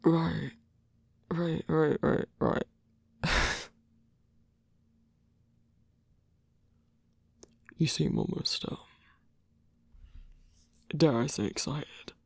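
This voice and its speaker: Man acting a slow, husky, bashful werewolf. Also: fairly high pitch, no room sound, full character voice. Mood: amused, disbelieving. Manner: halting, hesitant, sighing, gruffly bashful. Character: grumpy but tender, hesitant male werewolf lover in human form. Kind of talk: intimate ASMR roleplay acting.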